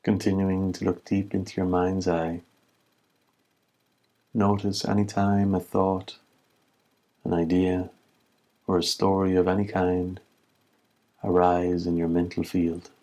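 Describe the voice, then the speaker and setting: A man giving guided meditation instruction as a teacher, in measured short phrases with long pauses. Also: deep voice, little pitch movement, dark tone.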